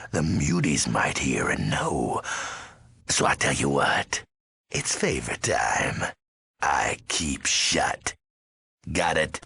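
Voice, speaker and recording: An actor playing a menacing, crazed undead ghoul gang boss in a video game, very raspy and gravelly, talking in clipped street slang. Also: male voice, extremely low pitch, pushed and bright, no room sound, heavily acted. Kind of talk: video game character acting.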